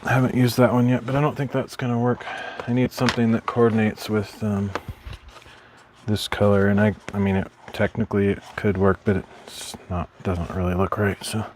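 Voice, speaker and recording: A man streaming from his craft room at home, chatting casually while he works, rambling and correcting himself in a mild, low-key way. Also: flat delivery, normal speed, a little mellow, slight background noise.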